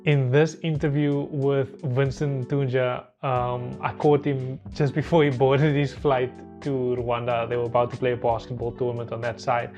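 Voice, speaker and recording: A man's voice: medium pitch, level tone, normal speed, few pauses, a little mellow. A man giving a casual podcast introduction as the host and interviewer. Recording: dull lo-fi sound with music behind.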